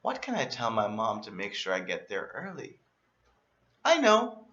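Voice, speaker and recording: A man reading a children's story aloud in an animated, expressive way, as a storyteller. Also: lowish voice, normal speed, highs cut off. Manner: expressive, acts out emphasis and exclamations.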